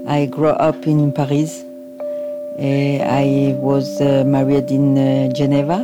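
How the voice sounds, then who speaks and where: A woman from Argentina with a very low voice gives interview testimony. She speaks haltingly, pausing often, and music plays loudly under her. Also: monotone. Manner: hesitant, halting. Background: music bed.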